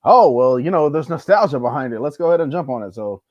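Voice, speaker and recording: A man's voice: on the high side, animated, fast talker, no echo. A man chatting casually as a guest on a show. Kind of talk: casual chat. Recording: slightly dull, muffled microphone.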